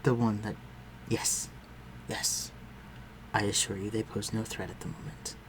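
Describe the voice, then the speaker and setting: A man playing a refined, protective vampire lord in an intimate audio roleplay, speaking formally and with measured command. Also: lowish voice, little pitch movement, a little hiss or hum, natural voice. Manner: formal, measured, archaic phrasing, dropping to breathy murmurs.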